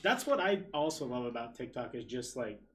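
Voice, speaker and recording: A man's voice: mid-range voice, big pitch swings, brisk, a bit bright. Laid-back young man hosting a podcast. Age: 20s. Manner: laid-back, swears freely, laughs often. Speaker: podcast host. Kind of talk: casual chat. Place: small studio room with couches.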